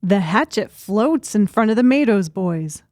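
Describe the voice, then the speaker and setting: A woman's voice: medium pitch, animated, measured pace, a little mellow. A woman reading scripted narration as the narrator of an audiobook.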